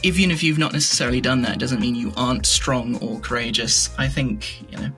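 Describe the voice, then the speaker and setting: A man's voice: medium pitch, fairly quick, a bit bright, faint music behind. A man chatting casually as a podcast guest, speaking in a candid, irreverent way with some swearing. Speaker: podcast guest, voice actor and audio drama creator. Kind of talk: casual interview chat.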